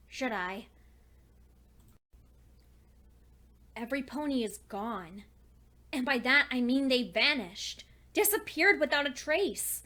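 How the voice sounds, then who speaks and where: A woman's voice: on the high side, fairly bright tone. A woman voicing an anxious young female pony scholar, earnestly and precisely reading out a log as a dramatic story. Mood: worried. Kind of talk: dramatic first-person fan fiction reading.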